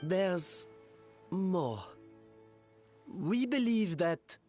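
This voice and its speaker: A man's voice: high-pitched, lively intonation, darkish tone, soft background music, exaggerated voice. A man playing an earnest, reasonable young man who explains things in an anime video game. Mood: explaining. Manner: measured, explanatory.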